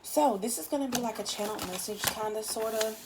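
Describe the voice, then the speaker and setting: A tired woman with a raspy voice, giving a casual, chatty tarot reading in loose, swearing everyday talk. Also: on the high side, fairly quick, background noise. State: just woke up, tired. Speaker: tarot reader.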